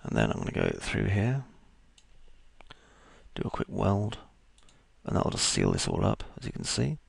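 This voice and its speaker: Croaky male tutor, deep gravelly voice, dry close mic, lively delivery. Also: moderate pace, no echo. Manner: casual, talks through each step as he works. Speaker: 3D modeling tutor. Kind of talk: screen-recorded software tutorial.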